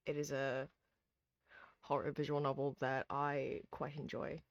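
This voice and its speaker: A tired, hoarse streamer who chats casually with viewers while replaying a horror visual novel, rambling with lots of ums and restarts. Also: medium pitch, monotone, unhurried, no echo, highs cut off. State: hoarse, sleepy. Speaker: streamer host.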